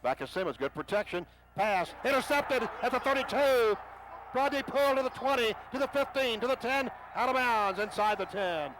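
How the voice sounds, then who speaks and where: A man calling a football game play by play for radio, rising in pitch and volume on big plays. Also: lively intonation, moderate pace. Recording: noisy stadium broadcast.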